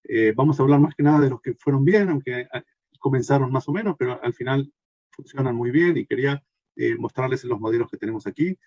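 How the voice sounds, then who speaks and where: A man's voice: mid-range voice, a little fast, a little mellow, no room sound, dull audio. Hesitant man giving a talk. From Argentina. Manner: hesitant, many fillers and restarts. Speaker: consultant giving a workshop. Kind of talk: recorded presentation talk.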